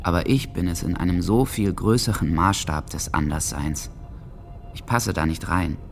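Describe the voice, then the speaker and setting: A man's voice: sits low, moderate pace, creaky, faint music behind. An actor playing an alienated, hopeless teenage boy in an introspective, melancholy radio drama monologue. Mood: bleak, brooding. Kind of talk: German radio docudrama acting, diary monologue.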